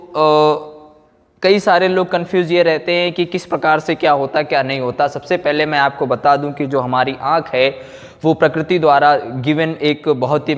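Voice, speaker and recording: Guy teaching physics class, slow n repetitive, big echoey room. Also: a little high. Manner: repetitive, explanatory, with rhetorical questions and check-ins. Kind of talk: classroom lecture. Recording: echoey hall, long reverb.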